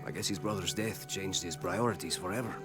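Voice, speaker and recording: A man playing a kindly, reflective villager in a video game cutscene, recounting things with quiet concern. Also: sits low, brisk, natural voice. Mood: wistful. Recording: music behind game dialogue.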